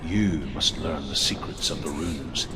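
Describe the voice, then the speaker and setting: A man playing a grave, reverent storyteller of Norse legends in a video game, solemn and measured. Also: a bass voice. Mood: solemn storytelling. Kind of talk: slow dramatic myth narration. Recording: long cavernous reverb, clear background noise.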